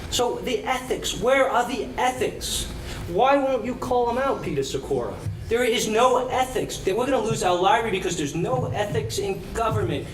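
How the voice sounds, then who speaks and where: A man who is a political candidate giving an impassioned, indignant debate speech full of rhetorical questions. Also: sits high. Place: college auditorium public debate. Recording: echoey hall, background noise.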